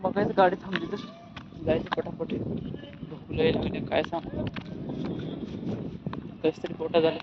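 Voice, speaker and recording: A man's voice: on the high side, flat delivery, measured pace, pauses often. Young man vlogging in dull, noisy audio. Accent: rural western Maharashtra. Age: young adult. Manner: rambling, self-correcting. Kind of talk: casual daily vlog chat. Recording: dull lo-fi phone sound, background noise.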